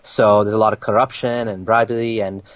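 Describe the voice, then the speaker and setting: A man's voice: fairly low pitch, little pitch movement, a little fast, dry recording. A young man from Kenya, a guest in an interview, telling his story in casual talk with many restarts and fillers. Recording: dry, dull lo-fi sound.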